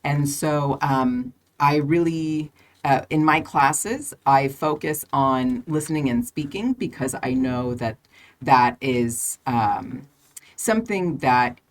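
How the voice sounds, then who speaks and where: An American woman with a strikingly low voice talks as a guest on a podcast about her teaching work. She answers in a friendly, halting way, with plenty of "um" and "uh" and easy laughs. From United States. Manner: friendly, laughs often, halting with many fillers.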